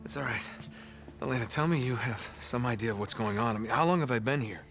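An actor playing a weary, wisecracking American adventurer in a video game, casual and sardonic in manner. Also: male voice, medium pitch, fast talker, fairly bright tone, close to an everyday voice. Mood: tired, subdued. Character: weary, wisecracking adventurer man, reluctant and disillusioned. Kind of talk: naturalistic video game cutscene acting. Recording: background music under the dialogue.

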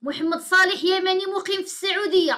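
Fast-talking Moroccan woman host. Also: very high voice, fairly even intonation, pushed and bright. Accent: Moroccan Arabic. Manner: brisk, repeats caller's answers back. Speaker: host taking calls. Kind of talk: live call-in chat. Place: small room indoors.

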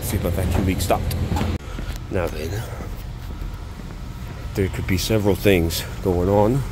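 A man's voice: deep voice, steady tone, measured pace. Plain-spoken man over machinery noise. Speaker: HVACR service technician. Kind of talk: informal troubleshooting walkthrough, thinking aloud. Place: indoor equipment room. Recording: handheld recording with machinery noise.

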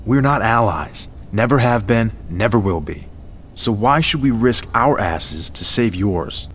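Wary vampire outcast for a game: low-pitched, gruff, cynical, dismissive, over faint background noise. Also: male voice, animated, unhurried, fairly bright tone. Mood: defiant. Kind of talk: video game cutscene acting.

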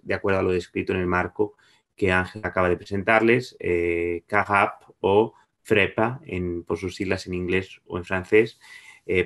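A man in his thirties presents in an online seminar. His voice is on the low side with plenty of vocal fry. He explains in long academic sentences, rephrasing as he goes. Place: indoor room on a video call. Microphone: video call microphone.

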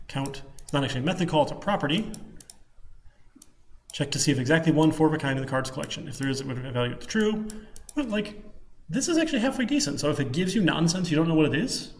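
A man with a Michigan Midwestern accent giving an informal live coding lecture, rambling casually and thinking aloud. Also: a little high. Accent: Michigan Midwestern American. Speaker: programming lecturer. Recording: clicking keyboard, faint room noise. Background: keyboard typing.

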